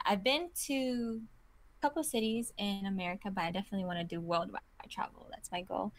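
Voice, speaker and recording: Young woman from kazakhstan, chatty interview guest, says "you know" a lot, giggles here and there. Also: mid-range voice. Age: 20s. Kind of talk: casual interview answers.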